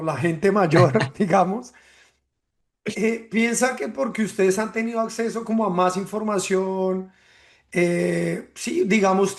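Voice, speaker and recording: A man from hosting a podcast, speaking in a measured, thoughtful way and often ending sentences with a tag question. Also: high-pitched. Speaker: podcast host. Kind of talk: reflective podcast monologue and interview.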